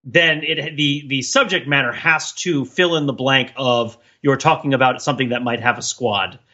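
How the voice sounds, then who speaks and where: A man's voice: medium pitch, normal speed, bright, forceful tone, highs cut off. A man co-hosting a podcast in casual comic banter, rambling off into mock-scholarly tangents. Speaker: podcast co-host. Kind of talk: casual comedic banter and riffing.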